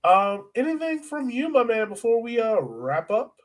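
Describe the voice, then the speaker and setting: A man's voice: extremely high pitch, very animated, moderate pace. A man co-hosting a sports podcast, chatting casually in informal, slangy language and often turning to his co-host.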